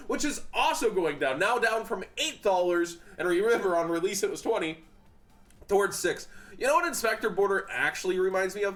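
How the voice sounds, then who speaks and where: A man's voice: high voice, a bit bright. A young man with a stuffy, congested voice, talking casually about card prices with fillers and restarts. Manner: chatty, frequent fillers and restarts. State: congested, sniffling. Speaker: card market commentator. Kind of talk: casual commentary.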